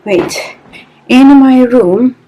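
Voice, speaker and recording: Raspy-voiced woman vlogging at home. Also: sits high, very slow, dark tone, roomy sound, slight background noise, rough recording. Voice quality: raspy in the morning. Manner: chatty, thinks aloud with many ums and restarts. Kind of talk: casual vlog chat to camera. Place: sunlit living room at home, indoors. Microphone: compact camera on-board mic.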